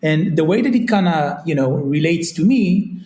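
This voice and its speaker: A man's voice: a little high, animated, moderate pace, dark tone. A man with an Israeli accent, talking as a guest in a casual interview, searching for words and leaning on fillers like "kinda" and "you know". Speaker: guest, retail company CEO. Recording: echoey room sound.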